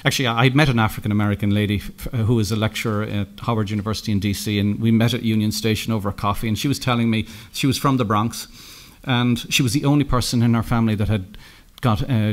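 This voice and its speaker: A middle-aged Irish man giving a conference keynote, telling anecdotes with lots of halting restarts and self-corrections. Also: on the low side, steady tone. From Ireland. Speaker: keynote speaker. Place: conference room, indoors.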